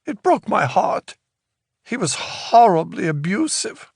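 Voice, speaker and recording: A woman's voice: medium pitch, sing-song, measured pace, creaky, a little mellow, breathy edge, no room sound, clean studio sound, pitched above the performer's own voice, exaggerated voice. A man voicing a bitter, vindictive woman with a Ukrainian accent, whiny and self-pitying. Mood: indignant.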